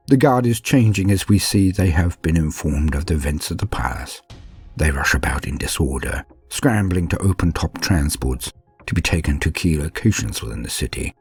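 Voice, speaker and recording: A man reading a dark war story as scripted narration, grim and measured, often dropping to a hush. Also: rumbling low, slightly rough. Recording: faint music underneath.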